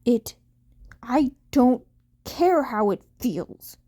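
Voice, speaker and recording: A woman's voice: on the high side, animated, very slow, mellow, full character voice. A woman voicing a hot-headed, aggressive teenage boy hero in a story reading. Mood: irritated.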